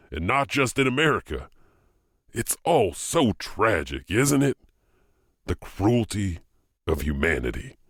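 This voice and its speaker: A man's voice: low voice, fairly bright tone, no echo, studio quality. A man hosting and narrating spooky legends in a theatrical, wry, scripted storytelling manner. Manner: theatrical, wryly punning. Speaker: host and narrator of a horror legend anthology.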